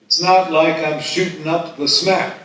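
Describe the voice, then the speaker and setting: A man playing a mischievous, sweetly stubborn eighty-year-old husband with an earnest deadpan, at a live table read. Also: on the high side, a little slow, a crowd in the background, close to an everyday voice. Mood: defensive. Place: live festival stage reading. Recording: echoey hall, distant microphone.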